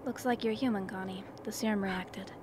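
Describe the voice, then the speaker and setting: An actress playing an earnest, shaken expedition member in a radio play, grave and hesitant. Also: female voice, medium pitch, steady tone, moderate pace, close to an everyday voice. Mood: subdued. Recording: noisy recording.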